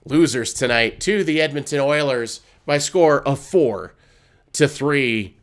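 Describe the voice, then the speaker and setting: Man, slightly hoarse, casual postgame hockey host, rambling with fillers, mid pitch. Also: takes it slowly. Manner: rambling, many fillers and restarts. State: hoarse from illness.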